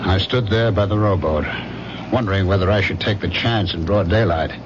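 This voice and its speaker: An actor playing a guilty, secretive husband in a 1970s radio suspense drama, brooding and evasive. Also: male voice, low voice, brisk, lightly acted. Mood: brooding, tense. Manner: brooding when narrating, hesitant and evasive in conversation. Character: guilty, secretive husband narrating his own crime. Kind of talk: 1970s radio suspense drama, first-person narration and dialogue. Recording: noisy, muffled old 1970s radio recording.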